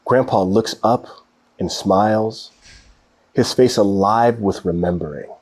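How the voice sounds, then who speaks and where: A man reading a children's picture book aloud in an expressive storytelling style. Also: fairly low pitch, measured pace.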